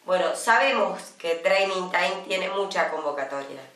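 A woman in her fifties with an Argentine accent, co-hosting a casual studio chat show and talking chattily with many drawn-out pauses. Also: on the low side, lively intonation, a bit bright, recorded from a distance. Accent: Argentine Spanish. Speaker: co-host. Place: small TV studio, indoors. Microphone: desk microphone, some distance away. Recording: roomy studio sound from off-mic.

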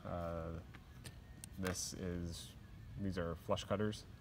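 A man with a very low, even voice talks through his tools in a loose, plain-spoken way, full of fillers and asides. He is recorded from a camera over a steel workshop bench, with a faint hum of room sound behind him. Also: drawn-out words. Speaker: aircraft mechanic. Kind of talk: casual tool show-and-tell.

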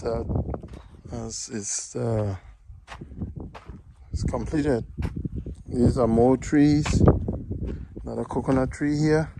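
A man giving a casual outdoor walkthrough of his farm plot, talking haltingly with many fillers and pleading with viewers to subscribe. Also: medium pitch, creaky, heavy background noise. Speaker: vlogger giving a farm tour.

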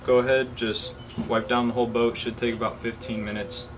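A man's voice: medium pitch, level tone, fairly quick. A man in his twenties talking casually through a how-to job as a DIY video host, recorded outdoors on a camera mic. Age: 20s. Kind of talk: casual how-to vlog talk. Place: outdoors beside a shed. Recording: clearly noisy outdoor ambient hum.

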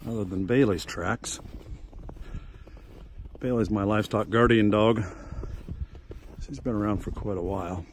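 Middle-aged guy chatting his way around his snowy farm, kinda low voice, phone cam outside, little bit of wind noise. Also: a little slow, long pauses. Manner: relaxed, folksy, chatty. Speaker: farmer giving a tour. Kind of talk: casual walking tour commentary. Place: outdoors on a snowy farm and woods.

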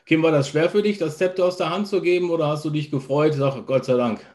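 A man's voice: a little high, quick pace, a little mellow. A man in his thirties hosting a casual interview and putting questions to his guests. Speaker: host, interviewer. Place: home room lined with shelves, video call.

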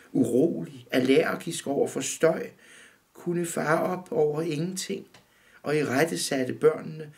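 Man, 50s, author reading his own prose; gravelly, measured, close to the camera indoors. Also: on the high side. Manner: calm, measured reading, often looking down at the text. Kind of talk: literary reading. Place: dim room indoors.

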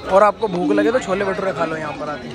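Man, vlogger, slightly high voice, casual price talk, phone mic, heavy crowd noise. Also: brisk. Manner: casual, pointing out items and prices. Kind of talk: walking market tour. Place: crowded outdoor market. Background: crowd and traffic.

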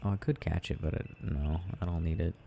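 A young man playing an affectionate, gently teasing boyfriend in an ASMR audio roleplay, murmuring and rambling as he games. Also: deep voice, steady tone, a little fast, creaky, a little hiss or hum. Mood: absorbed, rambling.

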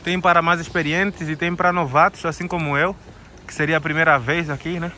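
A man from Angola vlogs with a handheld camera on a snowy ski slope. His voice is high and very bright, he laughs often, and faint outdoor noise sits behind him. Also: brisk. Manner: laughing, cheering, excitable. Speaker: vlogger. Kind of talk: casual vlog chat.

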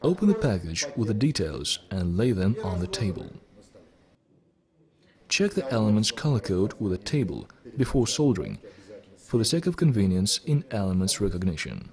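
Middle aged guy reading a how-to script, lowish voice, formal but pitch moves around a lot. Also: very animated, normal speed, crowd noise. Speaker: presenter of electronics kit videos. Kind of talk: scripted instructional narration.

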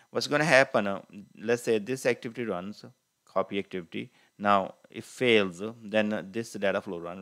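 A man with a fairly low voice gives an informal software walkthrough. He explains step by step as a tutorial presenter, haltingly and with hesitation, often correcting himself. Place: voice-over on a screen recording.

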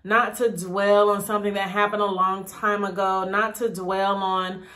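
A woman's voice: medium pitch, little pitch movement, a little slow, a bit bright. A woman in her thirties vlogging in a casual, encouraging big-sister way, upbeat and repeating words for emphasis. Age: 30s. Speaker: YouTube vlogger. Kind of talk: casual encouraging vlog talk.

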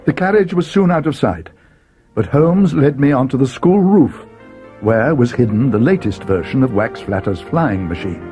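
An actor playing an earnest, loyal young friend of a detective, narrating a dramatic audio storybook. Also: male voice, mid-range voice, lively intonation, normal speed, music in the background, close to an everyday voice. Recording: 1980s read-along cassette.

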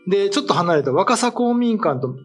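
Middle-aged man, higher-than-average pitch, casual explanatory talk, step-by-step storyteller, soft background music. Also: big pitch swings, a little fast. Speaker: writer and panelist. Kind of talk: casual explanatory chat about folklore. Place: small indoor studio at a table. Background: faint background music.

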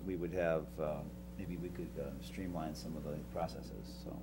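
A man's voice: very deep voice, fairly even intonation, normal speed, very noisy. A man at a school board meeting, speaking hesitantly and trailing off into a desk microphone.

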